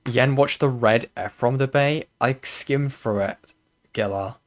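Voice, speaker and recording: A man's voice: mid-range voice, unhurried. A man co-commentating on a stream, blunt and profane, scoffing at a caller.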